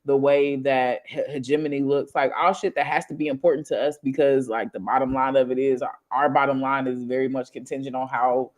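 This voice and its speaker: A man co-hosting a casual political chat show, talking in animated, slangy bursts that rise to loud exclamations. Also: mid-range voice, a little fast, hardly any pauses. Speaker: podcast co-host.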